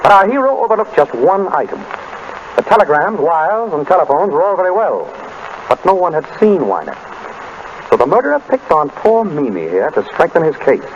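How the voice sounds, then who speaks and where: On a muffled, noisy old radio recording, a shrewd American detective, urbane and deliberate. His fairly high voice moves with lively, theatrical inflection. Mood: measured, explaining. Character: suave, shrewd detective husband revealing a murderer at dinner. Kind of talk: 1930s radio drama acting.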